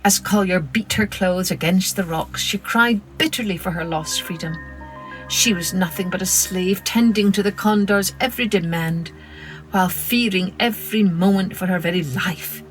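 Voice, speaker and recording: A woman narrating a children's story in an expressive, dramatic storytelling manner. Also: lowish voice, moderate pace, faint music behind. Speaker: storyteller, podcast host.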